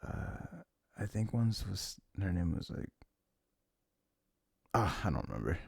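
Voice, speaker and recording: Male, very low and gravelly, for intimate ASMR rambling; halting, informal, sweary delivery. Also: very animated, a little mellow, no room sound, studio quality. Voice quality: low, husky, creaky voice. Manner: sniffles habitually. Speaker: ASMR audio performer. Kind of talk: intimate rambling ASMR chat, reminiscing to a listener.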